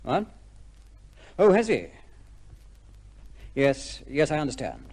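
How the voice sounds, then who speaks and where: Dutiful senior policeman, medium pitch, clipped and formal, faintly weary, period radio play. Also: wildly swooping pitch, slow, darkish tone, heavily acted. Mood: businesslike. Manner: clipped, formal, plain-spoken. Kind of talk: old-time radio drama acting.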